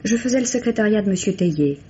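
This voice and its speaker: A woman's voice: mid-range voice, lively intonation, quick pace, mellow, natural voice. A young woman's voice , an actress's, as a reserved secretary giving cool, candid testimony. It is radio mystery acting, heard on a dull old recording with noticeable noise. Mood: composed, guarded.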